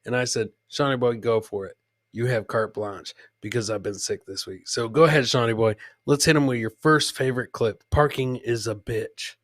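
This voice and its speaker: A man's voice: mid-range voice, steady tone, normal speed, no room sound, clean studio sound. A man hosting a casual comedy show, commenting on clips and often repeating phrases for emphasis. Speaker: podcast host.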